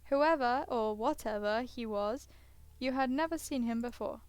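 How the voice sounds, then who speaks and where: A woman's voice: a little high, normal speed, smooth, dry recording. A woman gaming streamer reading a visual novel aloud, casually breaking off into hesitant asides and sounding tired. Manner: hesitant, self-interrupting asides. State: tired, yawning.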